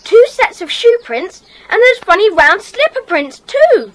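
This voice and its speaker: A very high, animated young boy in a children's mystery play. He sounds eager and earnest, with faint noise behind the voice. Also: a little slow, exaggerated voice. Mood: curious, eager. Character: bold, inquisitive young boy detective. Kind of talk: children's audio drama acting.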